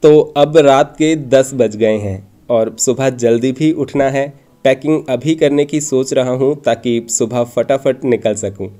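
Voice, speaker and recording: A man's voice: mid-range voice, a little slow, hardly any pauses, dark tone. A man narrating a travel vlog from a script, explaining things in an informative, orderly way. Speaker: travel vlogger and host.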